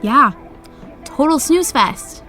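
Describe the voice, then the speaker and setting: A woman's voice: high voice, lively intonation, takes it slowly, bright, forceful tone, faint music behind, heavily acted. An actress playing a bubbly, shallow young woman who talks in valley-girl chatter.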